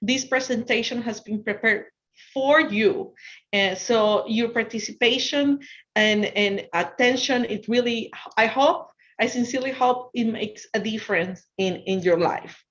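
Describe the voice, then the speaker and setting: Higher-voiced woman with Ecuadorian Spanish accent. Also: measured pace, a bit bright. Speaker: guest speaker, financial consultant. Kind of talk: motivational presentation to students. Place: online video presentation over Zoom. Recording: dull, lo-fi video call audio.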